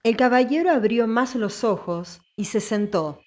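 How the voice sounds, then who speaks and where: A woman's voice: a little high, lively intonation, measured pace, darkish tone. A woman reading scripted story narration as an audiobook narrator.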